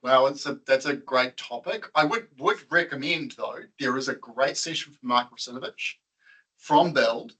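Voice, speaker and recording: A man's voice: mid-range voice, normal speed, sharp tone, slightly rough, rough recording. A man presenting at a tech meetup, chatting casually with the audience, quizzing them and laughing often.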